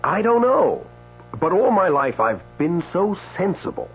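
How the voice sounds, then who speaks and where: A man's voice: high-pitched, very animated, husky, soft background music, heavily acted. An indignant dead man, wildly swooping voice. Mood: aggrieved. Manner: hesitant, stammering, pleading, dramatic pauses. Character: indignant, newly dead man stranded in limbo. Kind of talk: radio drama acting with first-person narration. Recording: dull radio broadcast, faint mains hum.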